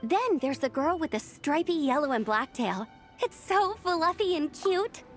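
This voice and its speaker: Young female knight: chatty, eager, soft music behind. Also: high voice, expressive, unhurried. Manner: chatty and upbeat. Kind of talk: game voice acting.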